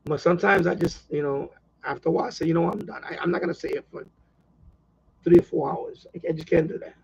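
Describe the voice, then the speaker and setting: A man with a high, creaky, husky voice hosts a casual live stream. He rambles along and circles back to repeat his points. The audio is somewhat muffled and lo-fi. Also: fast, noisy background. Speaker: radio hobbyist live stream host.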